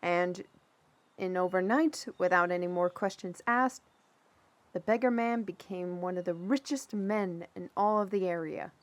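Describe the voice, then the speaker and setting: A woman playing a chatty, easily flustered storyteller in a fantasy audio roleplay, with an expressive, hesitant delivery. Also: on the low side, measured pace, dry recording, close to an everyday voice. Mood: musing, amused. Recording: music behind the voice.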